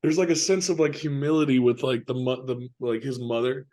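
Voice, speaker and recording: A man's voice: mid-range voice, steady tone, brisk, mellow. Slangy, informal man chatting about film. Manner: slangy, informal, filler-heavy. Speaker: film podcast co-host. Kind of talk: casual film discussion.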